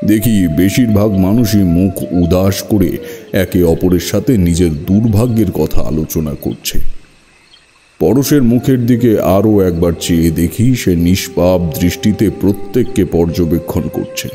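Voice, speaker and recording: Very deep, dark-toned male narrator. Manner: measured, literary storytelling. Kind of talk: scripted first-person horror story narration. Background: music.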